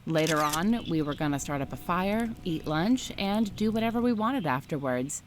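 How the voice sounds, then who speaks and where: A woman reading a first-person story aloud in a steady, scripted narration style. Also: lowish voice, moderate pace, noisy background.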